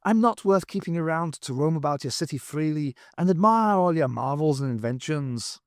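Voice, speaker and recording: A man doing a high, wheedling Irish spaceman. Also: expressive, moderate pace, no room sound, studio quality. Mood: pleading. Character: Irish space traveller, pleading and sorrowful.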